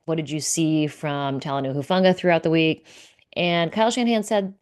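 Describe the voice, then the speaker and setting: A woman's voice: low voice, fairly even intonation, brisk, a little mellow, clean tone. A woman reporter casually recapping team news, relaying coach quotes and reading out player stats with frequent fillers. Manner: conversational, many fillers, reads out stats and quotes. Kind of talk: casual solo recap to camera.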